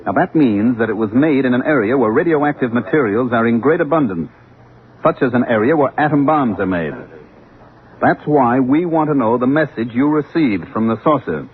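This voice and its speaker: An actor playing a stern, authoritative security agent in a vintage radio drama, clipped, insistent and official. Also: male voice, medium pitch, expressive, moderate pace, natural voice. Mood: stern interrogation. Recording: tinny, noisy vintage radio recording.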